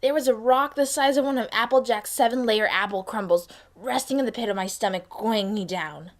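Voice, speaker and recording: A woman plays a young, athletic winged mare in an audio storybook. She speaks frankly in a slightly high voice. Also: brisk, close to an everyday voice. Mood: puzzled. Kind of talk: dramatised fan fiction reading.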